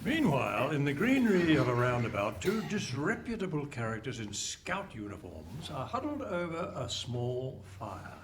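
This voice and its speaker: A man narrates a comic radio play in a scripted, scene-setting delivery. His pitch moves around in a lively way, and there is clear noise behind him on the live hall recording. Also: normal speed, few pauses.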